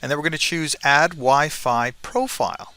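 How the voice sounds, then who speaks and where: A man's voice: fairly high pitch, normal speed, sharp tone, clean tone, dry recording, low-quality recording. A man giving an informal, conversational technical tutorial as a presenter, often checking in with the listener as he explains. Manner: conversational, frequently checks with "right?".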